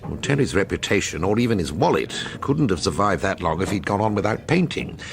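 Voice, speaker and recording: Diffident, low-voiced painter. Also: male voice, sing-song, a little fast, vocal fry, exaggerated voice. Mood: tentative, probing. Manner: hesitant, tentative, wry. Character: diffident, wry male jobbing painter drawn into forgery. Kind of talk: radio drama acting. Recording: crowd chatter behind the voice.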